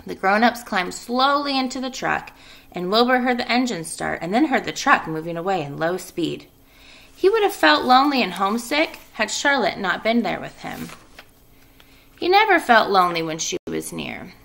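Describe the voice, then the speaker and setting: Woman, schoolteacher, read-aloud and class instructions, lively intonation, some vocal fry. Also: medium pitch, moderate pace. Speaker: elementary school teacher reading aloud.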